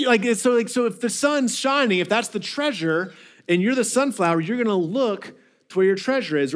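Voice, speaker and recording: A slightly high-voiced man in his thirties preaches to a crowded hall. He talks in a loose, conversational way, restarting phrases and repeating words. His delivery stays lively and direct to the audience. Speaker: pastor. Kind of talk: sermon. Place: large hall with a seated audience.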